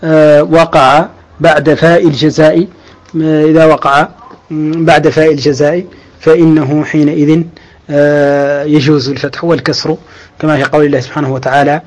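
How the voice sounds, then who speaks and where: A man teaching Arabic grammar, explaining slowly with drawn-out pauses and restarts, and reciting quoted verses. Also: a little high, little pitch movement. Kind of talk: lecture explaining a grammar text.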